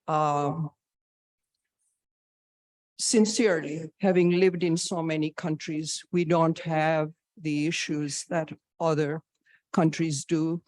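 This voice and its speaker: Slow, deliberate woman in her sixties. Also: low voice, a little mellow, dry recording. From Tanzania. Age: 60s. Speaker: physician, audience questioner. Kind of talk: prepared personal statement and question at a public forum.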